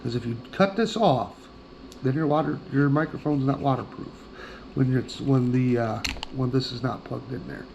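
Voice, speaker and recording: A man's voice: medium pitch, moderate pace, vocal fry, highs cut off, noisy background. A middle-aged man giving a casual, plainspoken product demonstration as an outdoor gear reviewer, often repeating himself for emphasis.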